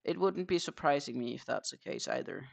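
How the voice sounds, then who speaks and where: A woman's voice: a bass voice, sing-song, fairly quick, gravelly. A woman with a Danish accent chatting casually on a crochet live stream, counting her stitches aloud and answering viewers.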